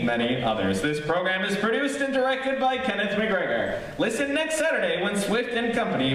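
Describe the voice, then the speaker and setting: A man's voice: sits high, a bit bright. A man announcing in 1940s radio style, reading sponsor copy and credits. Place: live student stage performance of a radio show. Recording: echoey, distant room sound with noise.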